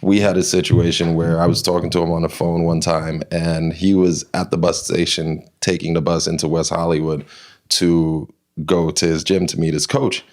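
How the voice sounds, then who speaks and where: Brief: male interview guest from New York, very low voice, chatty storyteller, loose with fillers. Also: moderate pace. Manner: animated retelling, many fillers and restarts. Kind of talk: casual interview, personal storytelling.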